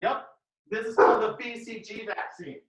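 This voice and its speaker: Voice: mid-range voice, steady tone, normal speed, a bit bright, breathy edge. Lecturer from Indonesia checking in often. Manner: explanatory, often checks in with a rhetorical "right?". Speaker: biology instructor. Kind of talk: classroom lecture.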